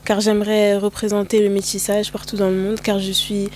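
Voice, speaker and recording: A woman's voice: mid-range voice, completely flat delivery, brisk, a little mellow, a little hiss or hum. A young woman in her twenties from France introducing herself as a pageant candidate, speaking simply. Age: 20s. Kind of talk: self-introduction interview.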